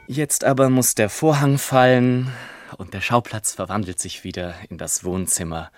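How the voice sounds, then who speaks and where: An actor playing a genial author narrating his family history in a German radio play, theatrical, measured and wry. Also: on the low side, steady tone, slight background noise, close to an everyday voice.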